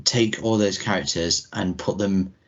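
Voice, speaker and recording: A man co-hosting a podcast in casual chat, talking in a rambling, halting, informal way. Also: deep voice, fairly even intonation. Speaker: podcast co-host. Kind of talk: casual chat about retro cartoon video games. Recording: dull, muffled lo-fi audio.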